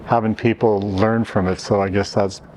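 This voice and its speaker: A man's voice: on the low side, little pitch movement, normal speed, darkish tone, a little hiss or hum, low-quality recording. Hesitant man in a casual interview. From Canada. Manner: hesitant, many restarts and fillers. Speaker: interviewee, event organizer.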